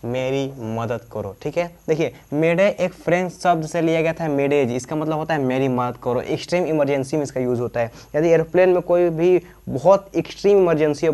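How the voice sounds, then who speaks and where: A man's voice: fairly high pitch, normal speed, hardly any pauses, a little mellow. A man, teaching informally, repeating himself and asking questions that he answers himself. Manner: repetitive, asks and answers own questions, frequent check-in tags. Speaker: teacher explaining aviation terms. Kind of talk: informal explainer lesson.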